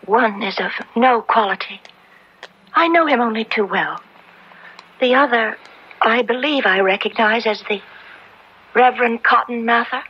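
A woman's voice: a little high, a little slow, pauses often, breathy edge. A woman playing a frail yet defiant young maiden accused of witchcraft, formal and earnest, in a radio drama. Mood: defiant, wary. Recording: dull old radio drama recording.

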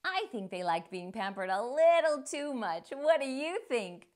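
A middle-aged woman reading aloud to young children as a storytime host, with expressive, deliberate delivery. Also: high voice. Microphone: lapel mic.

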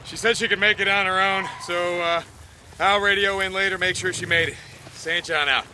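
An actor playing a gruff, weary male outlaw drifter in a video game, terse and grimly determined, with rough breathy muttering. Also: sits high, steady tone, normal speed, background noise, lightly acted. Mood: urgent, reporting. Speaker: player character. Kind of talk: naturalistic video game acting.

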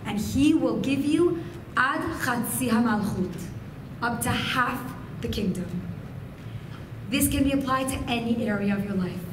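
A woman in her thirties whose first language is Farsi, giving an expressive, gesturing keynote speech from a podium microphone. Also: fairly high pitch, a little slow, pauses often. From Persian family. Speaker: keynote speaker. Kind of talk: inspirational speech to women. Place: hotel function room, indoors. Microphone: podium microphone on a stand. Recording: echoey room with background noise.

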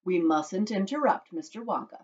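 A woman's voice: medium pitch, animated, moderate pace, a little mellow, dull audio, natural voice. A woman voicing an indulgent father in a children's storybook, soothing and appeasing. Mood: placating. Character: indulgent, placating father of spoilt daughter.

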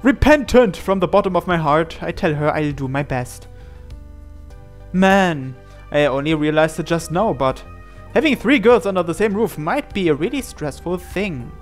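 The kind of higher male voice you would hear on a gaming channel, reading a story game aloud over music. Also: lively intonation, moderate pace. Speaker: reader narrating a visual novel playthrough.

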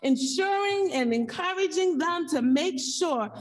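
A woman preacher delivering an earnest sermon, exhorting her listeners with repeated phrases and emphatic pauses. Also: extremely high pitch, lively intonation. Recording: echoey hall, distant from the mic.

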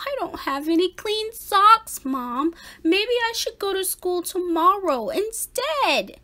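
An anxious kitten boy voiced by a woman reader pleads in a high, bright voice. He sounds whiny and very animated, like a storybook character dreading school. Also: takes it slowly, heavily acted. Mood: whiny, pleading. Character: anxious young boy cat, reluctant about school. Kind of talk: storybook read-aloud character.

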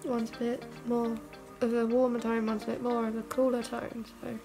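A young woman, a beauty vlogger, chatting casually through a makeup test in her bedroom; she sounds croaky and stuffed up from a cold and rambles with self-mocking asides. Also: a little high, little pitch movement, normal speed, music in the background. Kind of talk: casual makeup tutorial chat. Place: bedroom, indoors. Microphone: camera mic at arm's length.

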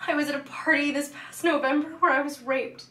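Young actress as a shaken nineteen-year-old student; high, halting delivery, recorded distant and roomy. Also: female voice, fairly even intonation, pushed and bright. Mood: halting, rehearsing. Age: young adult. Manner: halting, repeating herself, rehearsing. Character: traumatized young woman college student.

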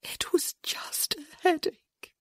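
A woman voicing a refined young aristocratic wife in an audiobook, playful and deeply emotional. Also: animated, normal speed, darkish tone, dry recording, clean studio sound, natural voice. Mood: broken, sobbing. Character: young aristocratic wife, playful then desperately grief-stricken. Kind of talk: dramatic audiobook character acting.

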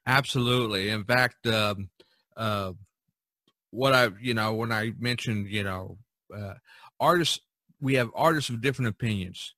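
A man's voice: on the low side, normal speed, pushed and bright, dry recording. A man hosting a casual podcast discussion, speaking emphatically and repeating phrases for stress. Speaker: podcast host.

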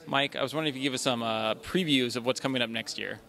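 Quick, gravelly interviewer man. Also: medium pitch, pushed and bright. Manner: upbeat, questioning. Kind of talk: event interviewer.

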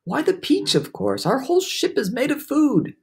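Reader acting a young boy, storybook, patient, calm, reassuring, light high pitch, expressive. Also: normal speed, slightly rough, exaggerated voice. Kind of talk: children's storybook read-aloud.

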